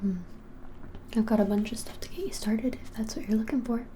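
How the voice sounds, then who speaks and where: Casting: woman, 20s, breathy ASMR whisper, gentle hesitant shopkeeper roleplay, faint room hiss. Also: fairly high pitch, a little fast. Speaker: ASMR creator playing a witch shop keeper. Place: small plain room indoors.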